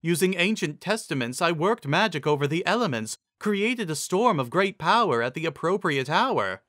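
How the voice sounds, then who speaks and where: A man's voice: a little high, sing-song, moderate pace, a bit bright, no room sound, clean studio sound, pitched above the performer's own voice. A man voicing a humble female zebra seer in a fantasy story, speaking deferentially in formal rhyme. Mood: cautious. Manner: deferential, formal rhyming speech.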